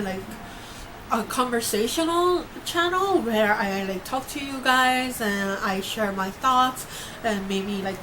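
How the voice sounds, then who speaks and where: Young woman vlogger from Korea and New York, mid pitch, rambling chat, audible background hiss. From Korea, grew up in New York. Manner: chatty, many fillers and restarts. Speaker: YouTuber. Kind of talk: casual vlog chat. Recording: noisy home recording.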